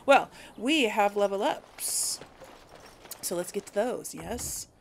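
A woman with a creaky, mid-pitched voice talks casually through a video game. She thinks out loud, tentatively, with some noise and game ambience behind her. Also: lively intonation, takes it slowly. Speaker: gaming video host and player.

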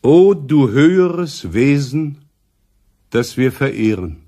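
An actor playing a pompous older professor in a radio drama, speaking in a solemn, declamatory way. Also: male voice, medium pitch, very animated, dark tone. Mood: grandly declamatory. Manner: solemn, declamatory, drawn-out phrasing.

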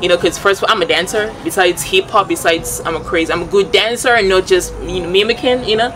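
A woman's voice: lowish voice, brisk. Young woman with slangy American-style talk. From northern Uganda. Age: young adult. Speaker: guest singer. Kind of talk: casual interview. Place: outdoors by a painted wall. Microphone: handheld mic.

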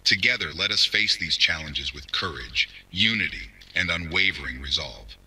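Grave male statesman, acted by a man, very bright forceful low voice, oratorical national address. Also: animated, normal speed, breathy edge, exaggerated voice, low-quality recording. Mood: solemn, resolute. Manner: solemn, oratorical. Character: grave, resolute male government defense minister addressing the nation. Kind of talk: formal scripted televised address.

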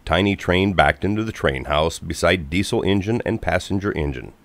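A man narrating a children's storybook from a script in an expressive, clearly paced manner. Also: deep voice, moderate pace, dry recording.

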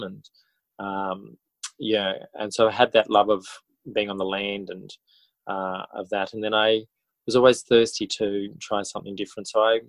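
A man in his forties with an Australian accent, talking as a guest in a casual podcast interview in a halting, reflective way. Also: lowish voice, fairly bright tone, no echo, poor audio. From country New South Wales, Australia. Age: 40s. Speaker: interview guest, schoolteacher and author.

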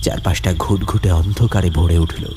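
A man's voice: low voice, steady tone, moderate pace. A man narrating a scripted horror story as a dramatic storyteller. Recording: loud music behind the voice.

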